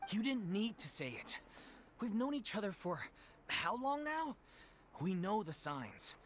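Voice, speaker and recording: A worried troupe manager. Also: male voice, high-pitched, sing-song, a little hiss or hum. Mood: concerned. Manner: measured, placating. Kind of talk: video game dialogue.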